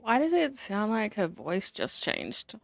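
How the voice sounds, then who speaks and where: A woman's voice: medium pitch, wildly swooping pitch, a little slow, gravelly, faint music behind. A woman streaming a game, giving casual, offhand and dry commentary.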